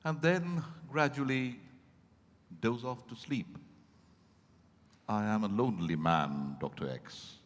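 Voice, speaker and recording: Man acting lonely ageing man, slow, echoey hall. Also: medium pitch, natural voice. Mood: guarded. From India. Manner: halting, theatrical, repeats phrases for weight. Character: lonely, wistful ageing man living alone. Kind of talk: dramatized stage reading. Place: event hall at a hotel. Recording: echoey hall with long reverb.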